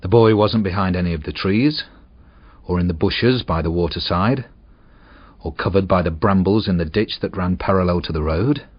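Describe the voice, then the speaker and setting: A man with a husky voice reads a short story aloud in an expressive, suspenseful way. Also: low voice, normal speed, dry recording. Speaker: audiobook narrator. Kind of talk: dramatic short story reading. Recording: dull, muffled audio.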